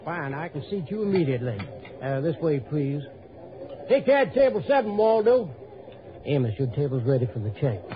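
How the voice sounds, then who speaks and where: High-pitched fussy train steward. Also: male voice, very animated, moderate pace. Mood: polite, bustling. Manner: deferential, bustling. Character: fussy, polite male dining-car steward. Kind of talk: 1950s-60s radio drama acting. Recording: dull old radio recording, chatter behind.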